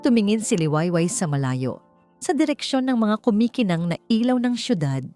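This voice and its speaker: A woman's voice: mid-range voice, big pitch swings, normal speed, warm tone. A woman with a Filipino accent reading a dramatic scripted story as an audiobook narrator. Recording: music bed under the voice. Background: background music.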